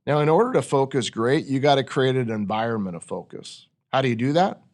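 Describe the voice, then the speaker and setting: Male podcast host, medium pitch, conversational coach who teaches through rhetorical questions. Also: expressive, a little fast, a little mellow. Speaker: podcast host leading a discussion.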